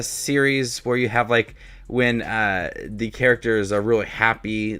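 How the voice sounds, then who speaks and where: A man with a lowish voice hosts a review video. He speaks casually and directly to viewers. His manner is upbeat and approving, in an informal monologue. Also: sharp tone. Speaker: YouTube movie reviewer, host.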